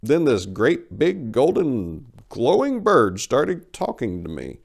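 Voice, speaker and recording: A man performing a short story as a wry, rambling, incredulous accident survivor, animated and emphatic in a conversational way. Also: mid-range voice, slow, gravelly, voice pushed up, heavily acted. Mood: wry disbelief. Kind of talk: dramatic reading of a short story.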